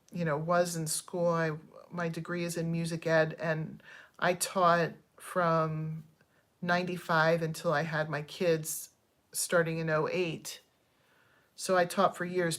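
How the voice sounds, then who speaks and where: A woman in her fifties chatting casually on a livestream, digressing often and thanking viewers as she airs candid, frustrated complaints with plenty of ums. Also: deep voice. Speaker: channel host.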